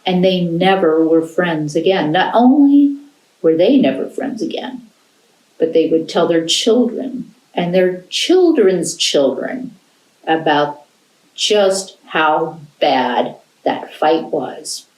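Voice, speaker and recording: An American woman telling a folktale to children in an animated storyteller's style. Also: lowish voice, takes it slowly. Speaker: library storyteller. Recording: roomy, echoing indoor sound.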